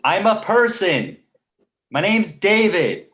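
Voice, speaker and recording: A man's voice: high-pitched, big pitch swings, slow, a bit bright, exaggerated voice. Man playing a snarky, precocious talking baby boy. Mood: indignant. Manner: sardonic, indignant, pedantic. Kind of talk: comedy table read of an animated pilot.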